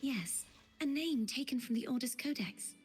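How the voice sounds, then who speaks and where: An actress playing an earnest, composed young female guide in a fantasy video game, with a soft, breathy voice. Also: sits high, expressive, moderate pace. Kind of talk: video game dialogue acting. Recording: long reverb, music behind. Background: game music.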